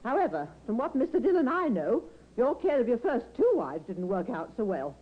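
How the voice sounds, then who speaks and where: A woman's voice: fairly high pitch, big pitch swings, normal speed. A woman playing a fussing, stubborn, protective middle-aged mother in a 1950s radio drama. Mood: firm, stubborn. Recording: dull old radio recording.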